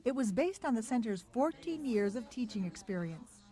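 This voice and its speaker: A woman's voice: on the high side, big pitch swings, moderate pace, dark tone. A woman reporter giving scripted, upbeat narration for a TV news feature. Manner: upbeat, clear reporter delivery.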